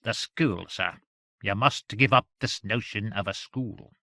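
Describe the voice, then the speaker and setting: A man voicing a shabby, grave Yorkshire servant in an audiobook, with a gravelly, deliberate delivery and coolly amused menace. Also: lowish voice, pushed and bright, dry recording, studio quality. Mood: grave warning.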